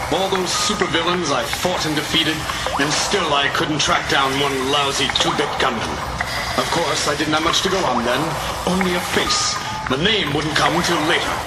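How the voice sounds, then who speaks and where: A man's voice: a little high, very noisy, natural voice. An actor playing a brooding, vengeful crimefighter who solemnly tells his own past in a theatrical comic-book drama. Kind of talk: dramatic first-person comic narration. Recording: loud music under the voice. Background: dramatic music.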